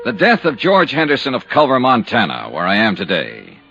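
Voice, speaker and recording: A hard-boiled insurance investigator from a 1950s radio serial. His clipped, wry delivery is self-assured and moves widely in pitch. It sounds like a dull old broadcast with some music. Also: wildly swooping pitch, fairly quick, pushed and bright, breathy edge, full character voice. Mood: brisk, narrating. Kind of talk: 1950s radio detective narration and dialogue.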